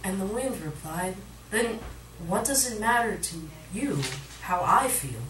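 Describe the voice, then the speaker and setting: A woman reciting poetry at a live reading, with dramatic, deliberate phrasing. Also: mid-range voice, expressive, fairly bright tone. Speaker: poet, featured reader. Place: literary cafe, indoors, live reading. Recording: echoey room recording from far off, audience noise. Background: cafe audience sounds.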